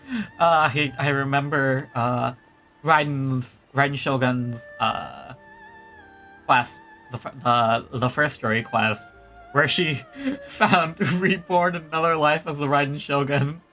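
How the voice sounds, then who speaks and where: A man's voice: on the high side, takes it slowly, pushed and bright, soft background music. A man doing casual live gameplay commentary as a streamer, often muttering little asides to himself. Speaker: game streamer. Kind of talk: casual live gameplay commentary, reading some text aloud.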